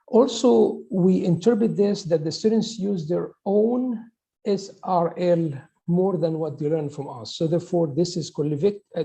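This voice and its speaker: A middle-aged man from Egypt, giving a conference talk in a halting way with restarts and fillers. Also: fairly high pitch, creaky, dark tone, rough recording. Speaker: conference presenter, professor.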